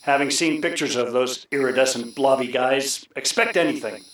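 A man's voice: mid-range voice, animated, normal speed, fairly bright tone, close to an everyday voice. A man playing a wry, plain-spoken patrol comrade who urges caution in a science fiction radio drama. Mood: wry warning. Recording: distant, echoey, faint noise.

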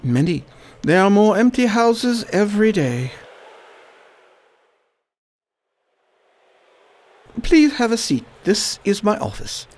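A woman's voice: mid-range voice, big pitch swings, moderate pace, a little mellow. A woman playing a weary, dutiful educated man in a radio play, terse and resigned. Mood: curt, skeptical.

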